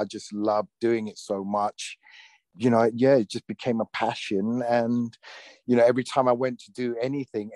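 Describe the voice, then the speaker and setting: A man in his forties with a London accent who grew up speaking Thai, talking about his career in a relaxed, rambling way as an interview guest. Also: lowish voice, no echo. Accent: London English. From London, England. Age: 40s. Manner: easygoing, rambling, full of "you know". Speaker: actor being interviewed. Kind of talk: casual interview talk.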